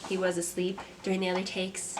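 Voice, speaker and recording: A young woman, a mother and artist, talking to camera from a script in a soft, reflective vlog; she sounds stuffy and congested. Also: lowish voice, completely flat delivery, fairly quick, noisy background. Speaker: vlogger, artist. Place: home. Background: kids playing.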